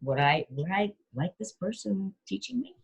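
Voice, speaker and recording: A woman's voice: medium pitch, expressive, normal speed, breathy edge. A middle-aged woman, a yoga teacher and singer, giving earnest, encouraging advice in an interview and repeating her key phrases.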